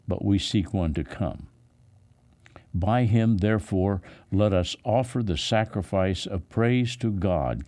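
A man reading the Bible aloud as a pastor, in a deliberate, solemn, phrase-by-phrase delivery. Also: deep voice, a little mellow, no room sound. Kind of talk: measured Bible reading with brief commentary.

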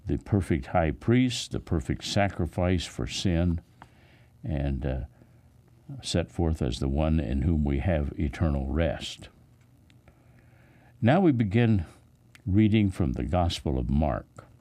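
Man, pastor, low mellow voice, lively rise and fall, solemn scripture reading, deliberate phrasing. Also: dry recording. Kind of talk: measured Bible reading with brief commentary.

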